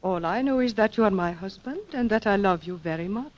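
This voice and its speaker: A woman's voice: mid-range voice, expressive, a little fast, lightly acted. Muffled, lovelorn queen acted by a woman. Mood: wistful, loving. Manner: dramatic, tender, formal. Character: lovelorn, wistful foreign queen, devoted wife. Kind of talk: 1940s radio acting. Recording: muffled old radio recording.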